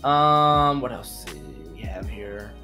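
A man's voice: medium pitch, animated, slow pace, sharp tone, faint music behind. A man playing a laid-back mechanic uncle in a video game roleplay, hesitant and matter-of-fact. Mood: assessing.

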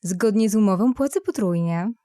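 A woman's voice: medium pitch, lively intonation, moderate pace, dark tone, no echo, studio quality, close to an everyday voice. A woman playing a flirtatious, brazen, elegant mature lady in an audio drama, with a teasing, coquettish manner. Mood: confident. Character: beautiful, flirtatious, brazen mature lady passenger in steampunk tale.

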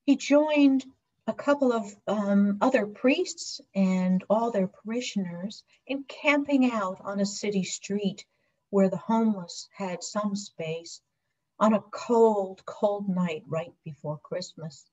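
Middle-aged woman deacon, slow deliberate sermon delivery, dull lo-fi webcam audio. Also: medium pitch, a little mellow. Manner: measured, reflective preaching. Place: home video call with virtual scenic backdrop. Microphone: webcam microphone on a video call. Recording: dull lo-fi video call audio.

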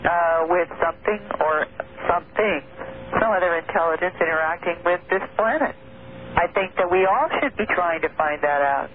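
A woman guest on a call-in radio show, explaining at length in a measured, detailed way with filler pauses. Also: on the low side. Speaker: guest researcher. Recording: tinny phone line with faint hiss.

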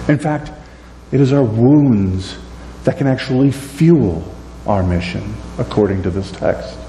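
Man in his 40s, pastor, sermon, animated delivery, warm dark tone, echoey sanctuary. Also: mid-range voice. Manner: animated, gesturing widely, swings between loud and soft. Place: church sanctuary, indoors. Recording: echoey church room, faint hum.

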